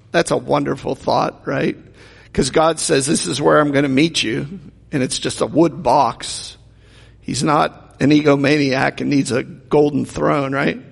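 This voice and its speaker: A man's voice: fairly high pitch, gravelly, breathy edge. A man preaching a sermon in a conversational way, asking the congregation rhetorical questions. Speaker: pastor. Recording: long hall reverb.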